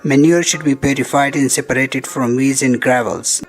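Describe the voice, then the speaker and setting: A man's voice: mid-range voice, fairly even intonation, moderate pace. Male instructional narrator over music. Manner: formal, reading out step by step. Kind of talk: scripted instructional film narration. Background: background music.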